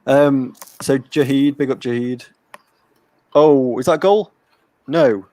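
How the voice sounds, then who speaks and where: A man hosts a casual live watch-along in a relaxed, easygoing way. He keeps reading out viewer comments and giving them shout-outs. His voice sits at an ordinary pitch and sounds close and dry. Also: slow, no room sound. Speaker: host. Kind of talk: casual chat.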